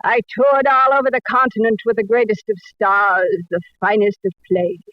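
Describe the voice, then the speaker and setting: A woman's voice: a little high, fairly even intonation, moderate pace, no room sound, lightly acted. A woman playing a gushing, grandiose, tippling older former actress in a 1940s radio drama. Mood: grandly nostalgic. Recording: dull vintage radio recording.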